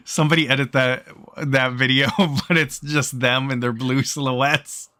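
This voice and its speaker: A man's voice: medium pitch, steady tone, a little slow, bright, forceful tone. A man reading a visual novel aloud in a casual way, acting out bits of dialogue as he narrates. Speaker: let's player reading game text aloud.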